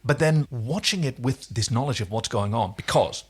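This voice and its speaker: A man hosting a podcast, recapping a TV episode in casual, teasing and animated chat. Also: mid-range voice, moderate pace, breathy edge. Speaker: podcast host.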